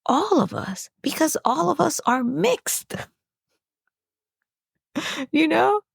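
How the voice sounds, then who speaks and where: A woman's voice: high-pitched, expressive, takes it slowly, vocal fry, dry recording, studio quality. A woman from the Dominican Republic hosting a podcast, talking through a personal reflection in an earnest, preachy way with rhetorical questions. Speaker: podcast host.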